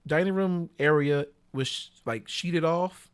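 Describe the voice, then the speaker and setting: A man telling bawdy stories casually as a podcast guest, slangy and animated, acting out what people said. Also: fairly high pitch, slow, clean tone. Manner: animated, slangy, with acted-out quotes.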